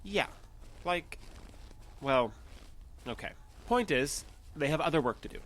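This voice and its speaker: Young alien fugitive in human guise, audio drama, earnest, matter-of-fact, slightly hesitant, natural dialogue. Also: male voice, on the high side, animated, a little slow, fairly bright tone. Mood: explaining, insistent.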